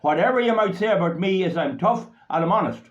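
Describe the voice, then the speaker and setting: A man's voice: sits high, lively intonation, fast talker. An Irish man giving a blunt, rambling political talk to supporters. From Ireland. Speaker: political activist. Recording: dull lo-fi recording.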